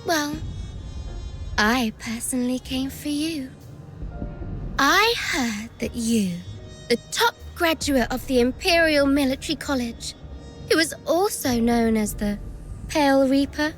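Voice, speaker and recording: A gleeful young villainess taunts in a high, bright voice. She drawls each sarcastic line slowly and theatrically, with faint game music behind. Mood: mocking. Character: mocking treacherous young woman villain.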